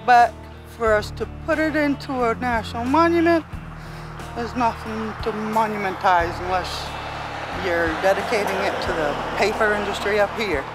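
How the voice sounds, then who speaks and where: A woman's voice: mid-range voice, normal speed, over loud music, low-quality recording. A local woman speaking bluntly and earnestly in an outdoor interview beside a street.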